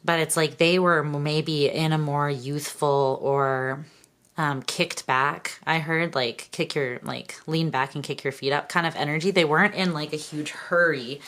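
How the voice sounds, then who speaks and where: Very low-voiced hoarse woman reading tarot. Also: fairly even intonation, unhurried, a bit bright. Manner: keeps clearing her throat, meandering with fillers. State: hoarse, losing her voice. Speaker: tarot reader. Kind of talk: casual tarot reading to viewers.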